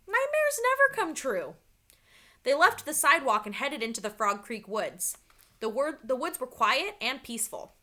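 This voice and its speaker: A woman reading a children's story aloud like a teacher, with dramatic, expressive storytelling. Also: fairly high pitch, moderate pace.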